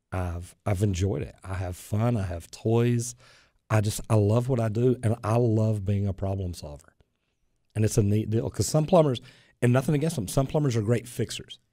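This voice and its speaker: A man's voice: on the low side, moderate pace, no room sound, clean studio sound. A man, telling stories as a plumber guest on a radio talk show. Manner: animated storyteller, gestures while talking. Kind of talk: casual radio chat and storytelling. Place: radio studio, indoors. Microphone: studio boom mic with headphones.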